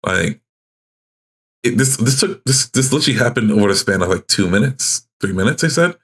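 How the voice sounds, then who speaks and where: A man doing casual live reaction commentary as a streamer, informal and halting as he thinks aloud. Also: on the low side, expressive, a bit bright, slightly rough. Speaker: streamer reacting to horror videos.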